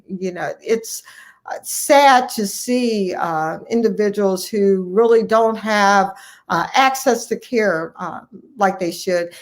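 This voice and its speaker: Earnest woman physician in interview. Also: medium pitch. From United States, Ohio. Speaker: family physician, guest speaker. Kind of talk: conversational interview answers.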